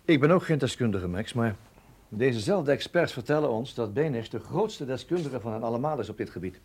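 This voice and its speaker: A temperamental military colonel in a radio play. His voice is husky and mid-pitched, and he talks in a gruff, grudging grumble, blunt and easily irritated. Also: lively intonation, brisk, darkish tone. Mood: attentive, skeptical. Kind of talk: Dutch radio drama acting.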